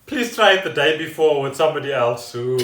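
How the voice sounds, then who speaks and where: A man's voice: a little high, expressive, a little fast, a bit bright. A South African man chatting casually and loosely as a podcast host. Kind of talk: casual rambling chat. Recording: echoey room, distant microphone.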